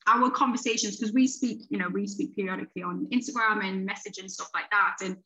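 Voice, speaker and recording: A woman's voice: mid-range voice, fairly even intonation, quick pace, pushed and bright, low-quality recording. A woman in her late thirties, a podcast guest, chatting casually and rambling through her thoughts with frequent restarts. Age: 30s. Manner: rambling, restarts often, laughs while talking. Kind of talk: casual conversation.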